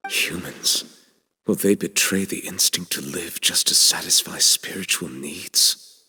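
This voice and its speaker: Game casting: aloof, grave chief justice, deep voice in a reverberant hall. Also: male voice, a little slow, sharp tone, husky, heavily acted. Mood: detached musing. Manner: formal, grave, detached. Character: stern, aloof male chief justice, dignified. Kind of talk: dramatic video game voice acting.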